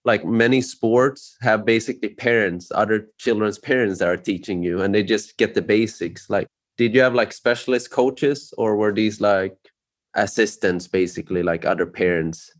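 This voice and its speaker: A man from Sweden with a fairly low voice hosts a relaxed interview. He asks curious, meandering questions, leaning on "like" and "you know" as he works toward his point. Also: normal speed. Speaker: podcast host. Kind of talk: casual interview chat.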